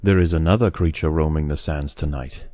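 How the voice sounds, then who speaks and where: Low voiced guy doing nature doc narration, dark and mellow, serious, steady delivery, really dry sound. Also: no echo. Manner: measured, grave. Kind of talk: scripted nature documentary narration in a video game.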